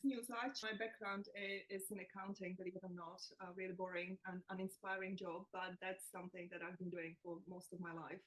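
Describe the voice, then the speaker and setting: A woman's voice: on the low side, level tone, fast, few pauses, fairly bright tone, rough recording. A woman from the Czech Republic, a nutritionist guest in a podcast interview, chatty and self-deprecating, laughing as she talks. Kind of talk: casual interview chat.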